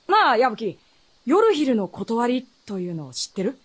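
A woman's voice: on the high side, very animated, measured pace, husky, full character voice. A woman playing a guarded, knowing adolescent boy in a Japanese fantasy radio drama, speaking in a boyish, matter-of-fact way. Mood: guarded, casual.

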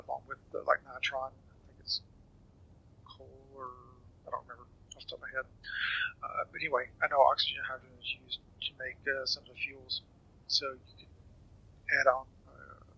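Raspy, bright-voiced man explaining a game. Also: mid-range voice, rough recording. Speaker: gamer giving a tutorial. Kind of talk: casual game walkthrough commentary.

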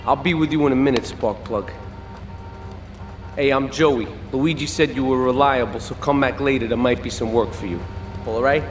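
A man's voice: mid-range voice, normal speed, close to an everyday voice. Distant, echoing young mobster, acted by a man. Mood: cool, offhand. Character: cool young male mobster, the Don's son. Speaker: video game character. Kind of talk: scripted game cutscene acting. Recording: distant, echoing hall reverb, music behind.

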